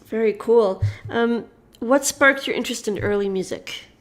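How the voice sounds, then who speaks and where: A woman hosting an interview, enthusiastic and quick to laugh as she asks her questions. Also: on the high side. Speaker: host. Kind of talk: interview host.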